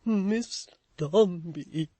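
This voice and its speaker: Woman doing a stammering bashful young gentleman. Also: fairly low pitch, very slow, no room sound, voice pushed up. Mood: flustered. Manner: stammering, awkward.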